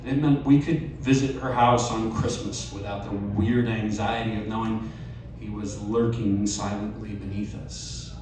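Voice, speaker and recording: A man reading a personal essay aloud at a live storytelling night, measured and wry. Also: fairly low pitch, steady tone, a little mellow, far from the mic, a little hiss or hum. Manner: slow, measured delivery with wry asides. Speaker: reader at a live storytelling event. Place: bar or event venue, live audience. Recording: very echoey room, voice off-mic, faint ambience.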